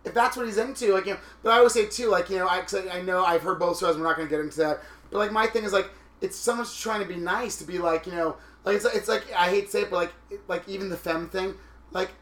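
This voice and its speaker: Man, raspy, flamboyant, casual podcast chat, echoey room. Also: sits high, quick pace. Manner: flamboyant, animated storytelling.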